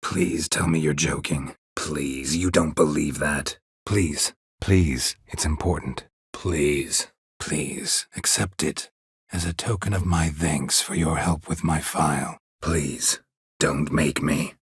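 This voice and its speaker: A man voicing a gruff, stoic, world-weary monster hunter in a video game, speaking tersely in a husky rasp with dry, deadpan understatement. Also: a bass voice, slow, dry recording, heavily acted. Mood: grave. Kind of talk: video game character dialogue.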